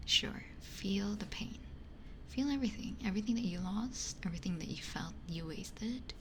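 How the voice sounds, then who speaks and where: A woman streamer whispering in a breathy, conversational ASMR style, talking reflectively close to a binaural microphone. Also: medium pitch, moderate pace, noisy background. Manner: reflective, conversational, repeats phrases for emphasis. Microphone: close binaural ear microphone.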